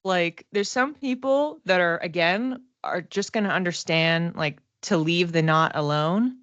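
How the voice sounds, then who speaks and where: Low-pitched woman thinking aloud. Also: fairly bright tone, no room sound, muffled recording. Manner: conversational, thinking aloud in halting phrases. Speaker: psychic reader and class teacher. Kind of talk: casual livestream psychic reading, speaking to viewers.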